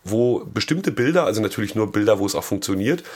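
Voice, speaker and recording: A man's voice: fairly low pitch, lively intonation, quick pace, a little mellow. A man with a cold, co-hosting a podcast and telling stories in casual chat with dry jokes. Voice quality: hoarse, gravelly. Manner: chatty storyteller, dry jokes. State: has a cold. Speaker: podcast co-host.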